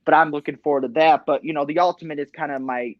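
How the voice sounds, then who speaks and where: Teenage boy, high talking voice, chatty filler-heavy delivery, dry dull call audio. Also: steady tone, fast talker, no room sound. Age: teens. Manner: chatty, many fillers like "you know". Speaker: guest, Elvis tribute artist. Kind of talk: casual interview chat. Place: small home studio indoors.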